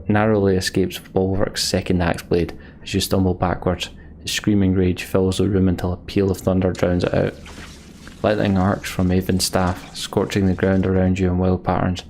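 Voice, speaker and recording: A man's voice: low voice, vocal fry, a little mellow, a little hiss or hum. A man reading game text aloud in a let's play, with frustrated asides. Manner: plain narration, frustrated asides.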